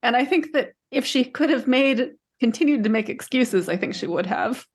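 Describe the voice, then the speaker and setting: A woman's voice: fairly high pitch, expressive, moderate pace, husky. A woman co-hosting a book discussion podcast, talking casually, pushing back and carefully qualifying her points. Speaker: podcast co-host.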